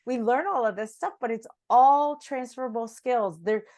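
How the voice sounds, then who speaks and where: A woman's voice: on the high side, moderate pace, darkish tone. A woman hosting a podcast in a chatty, effusive, enthusiastic manner. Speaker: podcast host.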